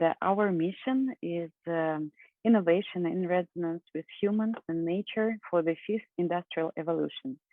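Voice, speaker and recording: A woman's voice: lowish voice, no room sound. Woman from russia giving a talk on a video call, tinny dry sound, lots of ums and little laughs. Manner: earnest, with frequent fillers and soft laughs. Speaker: conference speaker. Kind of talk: presentation talk. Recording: phone-like dry online call.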